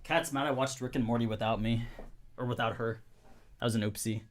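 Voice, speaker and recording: A young man talks casually on a live stream, his voice a little hoarse after an hour of talking. His pitch sits in a middle register, and he rambles with plenty of fillers and restarts as he answers chat. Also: steady tone, fairly quick, slight background noise.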